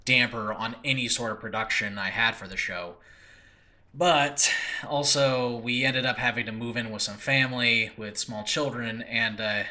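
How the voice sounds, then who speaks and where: Bright-voiced American man podcasting casually. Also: mid-range voice, fairly even intonation, normal speed. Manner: rambling, informal, frequent "you know" asides. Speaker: podcast host and audio drama creator. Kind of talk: casual solo podcast talk. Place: room in a new apartment, makeshift recording space.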